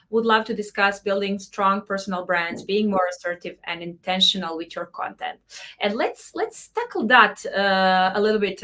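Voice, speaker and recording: Female host, online panel moderator, mid-pitched and steady. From Serbia. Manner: warm encouraging questioner, reads chat comments aloud.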